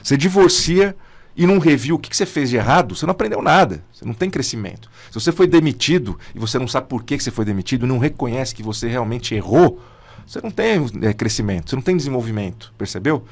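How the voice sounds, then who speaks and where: A man's voice: medium pitch, animated, quick pace. A Brazilian psychologist in his fifties, a podcast guest who talks casually and animatedly, with slang like "cara". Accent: Brazilian Portuguese. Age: 50s. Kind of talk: conversational podcast interview.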